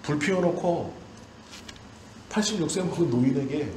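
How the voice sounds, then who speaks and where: A man's voice: fairly high pitch, animated, moderate pace, darkish tone. Man preaching in an echoey room. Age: 40s. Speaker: pastor. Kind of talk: sermon. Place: church hall during a service. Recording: roomy church echo, faint background noise.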